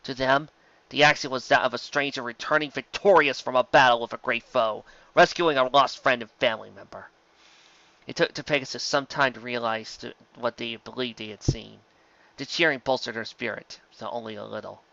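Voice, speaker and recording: A man's voice: medium pitch, steady tone, brisk, vocal fry, pushed and bright, no echo, highs cut off. A man reading a fantasy story aloud as a narrator, in a dramatic scripted style. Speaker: audiobook narrator of fan fiction.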